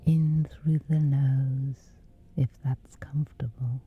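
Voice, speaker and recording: A woman with a whispery voice, slowly and gently guiding a sleep meditation. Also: extremely low pitch, flat delivery, husky, phone-quality sound, faint music behind. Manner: slow, soothing, unhurried. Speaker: meditation guide.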